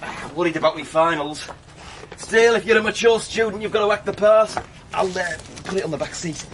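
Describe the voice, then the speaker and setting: A man's voice: high voice, brisk, fairly bright tone, slight background noise. An actor playing a chatty male taxi driver and mature student, casual, nosy and hesitant, in a naturalistic radio drama.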